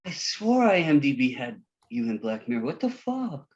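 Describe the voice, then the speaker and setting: A man's voice: a little high, sing-song, normal speed, a little mellow, lo-fi sound. An actor at a table read playing a breezy, chummy male movie director, slangy and eager to seem cool. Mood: baffled.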